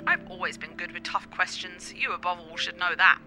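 A woman playing a witty, theatrical sweetheart with a dark streak in a radio play, with an arch, dramatic delivery over music. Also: lowish voice, steady tone, brisk. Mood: arch, teasing.

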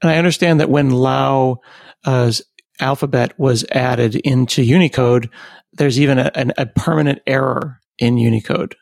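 A man's voice: mid-range voice, steady tone, moderate pace, vocal fry, darkish tone, dry recording, studio quality. A man hosting an interview podcast, talking in a conversational presenter's way. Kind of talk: conversational tech interview with sponsor read.

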